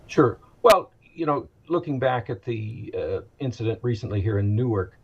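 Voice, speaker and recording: American male expert guest, husky and measured, on slightly muffled audio. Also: medium pitch, animated. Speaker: aviation executive, interview guest. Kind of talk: explanatory interview answers.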